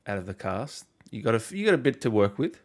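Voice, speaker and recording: A man's voice: on the low side, big pitch swings, quick pace. A man hosting an interview in a casual, chatty style, putting questions and comments to his guest. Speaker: interviewer and show host.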